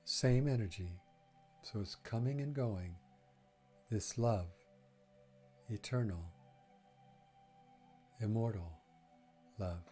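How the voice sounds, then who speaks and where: A man leading a guided breathing meditation, speaking gently in short phrases with long, unhurried pauses. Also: lowish voice, soft background music.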